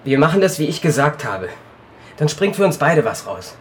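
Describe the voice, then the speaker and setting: A man's voice: on the high side, big pitch swings, normal speed, slight background noise. An actor in a radio drama playing a pushy, defiant crooked businessman.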